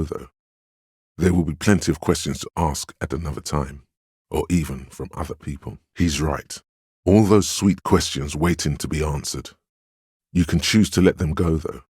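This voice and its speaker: A man playing a stern, weary, protective inner voice of a detective in a video game, with measured, grave, dryly sardonic delivery. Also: darkish tone, no room sound, studio quality. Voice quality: very deep, smooth, resonant. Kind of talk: scripted game dialogue and narration.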